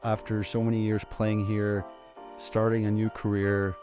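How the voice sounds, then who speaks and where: A man, hosting a casual podcast in a relaxed, thoughtful way, pausing to find his words. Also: lowish voice, music in the background. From Sweden. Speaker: podcast host.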